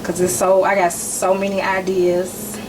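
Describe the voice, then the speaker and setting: A woman in her forties chatting casually as a podcast guest, talking about her work in short relaxed phrases. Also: medium pitch, a little slow. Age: 40s. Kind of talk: casual chat. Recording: noisy, distant mic.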